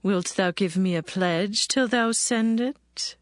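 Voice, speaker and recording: Brief: man voicing a high, slow young widow for a dramatized scripture audio. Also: no echo, studio quality. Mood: bargaining. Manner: slow, deliberate, high put-on voice. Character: young widow woman, bold, bargaining.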